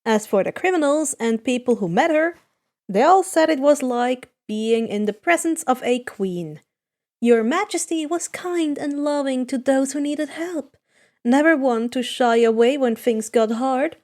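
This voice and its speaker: A woman narrates a piece of fan fiction. Her voice is high in pitch, and she reads the scripted prose as a storyteller. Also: measured pace, darkish tone.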